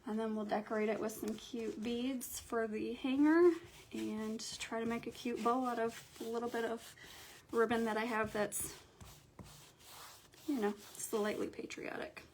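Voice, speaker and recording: A young woman with a hoarse morning voice, chatting casually and thinking aloud while hosting a craft livestream. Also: on the high side, fairly even intonation, unhurried, a little mellow, a little hiss or hum.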